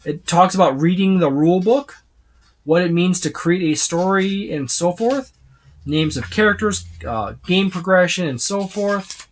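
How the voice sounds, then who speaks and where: A tabletop game reviewer giving a casual, unscripted overview, speaking haltingly with repeated words and trailing lists. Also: medium pitch. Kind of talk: casual unscripted book flip-through overview.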